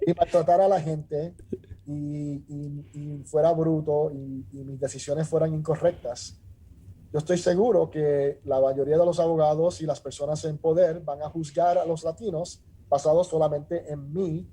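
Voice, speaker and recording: A middle-aged man from Rico, a judge talking earnestly in a measured way with many restarts and filler sounds. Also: a little high, steady tone, dark tone, slightly rough. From Puerto Rico. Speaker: judge, interview guest. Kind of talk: casual interview talk.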